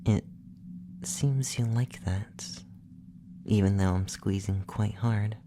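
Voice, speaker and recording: A performer playing a calm, clinical, gently curious young effeminate male alien abductor in a soft, breathy, often whispered ASMR roleplay, speaking slowly and soothingly. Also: sits low, background noise. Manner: slow, soothing, softly spoken, matter-of-fact.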